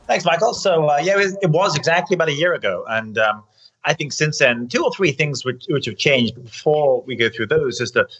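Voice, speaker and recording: A man's voice: a little high, animated, a little fast, a bit bright, husky, lo-fi sound. A man giving conversational interview answers as a podcast guest, hesitating and repeating words as he explains. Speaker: podcast guest, chief executive.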